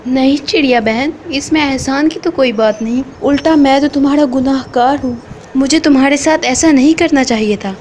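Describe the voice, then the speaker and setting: Woman playing a hot-tempered cartoon crow, male, gruff and threatening, fairly high voice, faint hiss behind. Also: normal speed, a little mellow, lightly acted. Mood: remorseful. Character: hot-tempered male crow ice-cream seller, bullying then remorseful. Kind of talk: cartoon voice acting.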